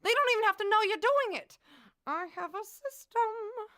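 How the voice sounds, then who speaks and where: An actress playing an indignant, sharp-tongued wife in a comedic murder mystery audio drama. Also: female voice, extremely high pitch, animated, moderate pace, dry recording, clean studio sound. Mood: incredulous.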